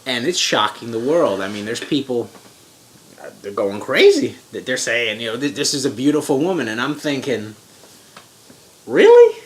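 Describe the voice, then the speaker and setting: A man's voice: medium pitch, huge pitch swings, slight background noise. A man hosting a casual chat, moving through topics and asking his co-host questions. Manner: leads topics, quick reactions and questions.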